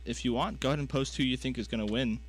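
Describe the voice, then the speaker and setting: Young guy cohosting radio, normal pitch, just chatting sports. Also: very fast, soft background music. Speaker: student radio show co-host. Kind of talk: casual sports chat.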